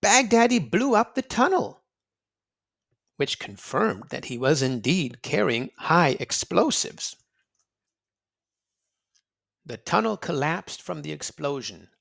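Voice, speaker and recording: Animated, higher-pitched male children's narrator. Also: creaky. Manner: dramatic, emphatic, with drawn-out words and pauses. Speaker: children's read-aloud narrator and quiz host. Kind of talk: expressive storybook read-aloud for children.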